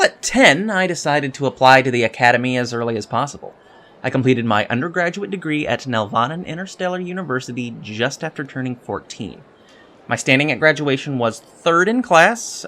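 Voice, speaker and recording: A man's voice: medium pitch, fairly bright tone, natural voice. A man playing a polite, precocious teenage alien cadet in a sci-fi audio drama, earnest and slightly hesitant. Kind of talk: scripted audio drama dialogue.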